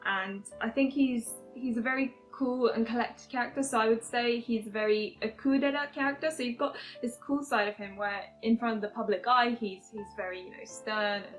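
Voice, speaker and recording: A woman's voice: fairly high pitch, steady tone, moderate pace, hardly any pauses, soft background music, rough recording. A young woman with an English accent chatting casually through a game review, hesitant and full of fillers and restarts.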